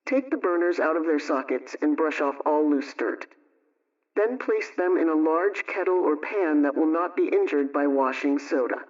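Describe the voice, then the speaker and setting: High-voiced man on muffled, distant radio. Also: lively intonation, moderate pace. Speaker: radio homemaking program presenter. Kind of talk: scripted recipe and household advice reading.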